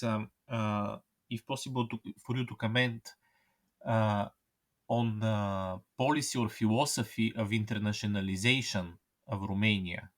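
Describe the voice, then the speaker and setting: A man hosting an interview, putting polite, measured questions to his guest. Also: medium pitch, a bit bright. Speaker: interviewer, host.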